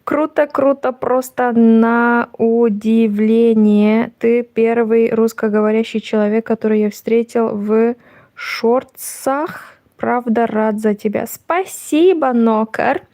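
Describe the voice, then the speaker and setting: A woman whose first language is Romanian, speaking English with a slight Russian accent, chatting casually and laughing while she crochets and reacts to videos. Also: fairly high pitch. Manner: relaxed, laughs often, slow and unhurried. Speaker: crochet vlogger and conference interpreter.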